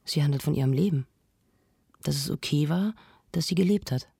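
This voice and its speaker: Husky, insistent culture show discussant. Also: mid-range voice, fairly quick, warm tone, no room sound, clean studio sound. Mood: probing, insistent. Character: searching, anguished culture programme discussant, intellectual and insistent. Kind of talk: radio drama played as studio discussion.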